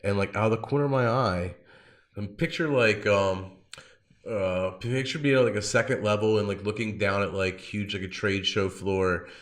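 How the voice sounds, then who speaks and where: Deep-voiced casual podcast host, a man. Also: normal speed, a bit bright. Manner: informal, slangy, frequent 'like'. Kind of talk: casual chat, personal storytelling.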